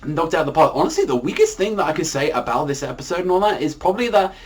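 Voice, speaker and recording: A man's voice: fairly high pitch, very animated, racing, husky. A man giving a casual, unscripted anime review, talking in a rambling, repetitive way with a habit of filler words.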